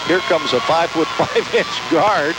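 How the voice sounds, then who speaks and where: A fairly high-voiced male radio announcer calls a basketball game live. He follows the action in short, clipped phrases. The old broadcast recording is very noisy. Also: expressive, measured pace. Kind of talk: live basketball play-by-play commentary. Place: courtside in an indoor sports dome.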